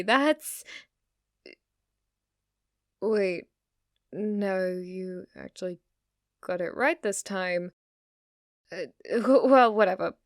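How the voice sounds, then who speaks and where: Slow, teasing lovestruck witch girlfriend, acted by a woman. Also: fairly high pitch, no echo, studio quality. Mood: flustered.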